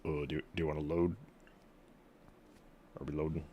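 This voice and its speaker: A game streamer giving casual, offhand commentary in a deadpan, slangy way. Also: very deep voice, normal speed, slight background noise.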